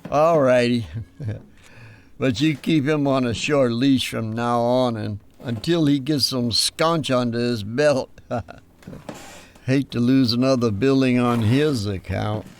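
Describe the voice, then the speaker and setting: A man's voice: medium pitch, slow. A man acts a small-town Western lawman for an audio drama. He, folksy and wary. Faint noise sits behind him. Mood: amused, warning.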